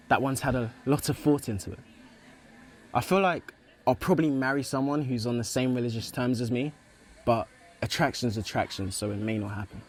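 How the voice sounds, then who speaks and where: A man's voice: mid-range voice, lightly acted. An actor playing an earnest, open young religious man in a naturalistic radio play, explaining himself thoughtfully. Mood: thoughtful, candid.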